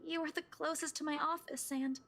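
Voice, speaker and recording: An actress playing a shaken, apologetic young woman in a visual novel game, hesitant and halting. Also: high voice, fairly even intonation, fairly bright tone.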